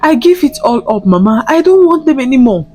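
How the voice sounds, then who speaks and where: A woman's voice: high-pitched, sing-song, fairly quick, warm tone, faint music behind, pitched above the performer's own voice. A woman voicing a desperate young woman in a folktale, pleading tearfully with stammers and repeated phrases. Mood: pleading surrender.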